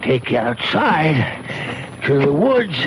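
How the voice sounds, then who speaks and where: An actor playing a nervous, apologetic small-town taxi driver with a halting, folksy manner in a radio drama. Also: male voice, fairly high pitch, sing-song, full character voice. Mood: remorseful, dazed. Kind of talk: old-time radio drama acting. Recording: noisy 1970s radio drama broadcast.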